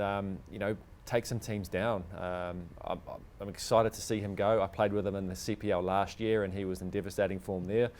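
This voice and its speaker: A man's voice: on the low side, darkish tone, a little hiss or hum. A man giving casual sports analysis as a panel pundit. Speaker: cricket player and panel pundit.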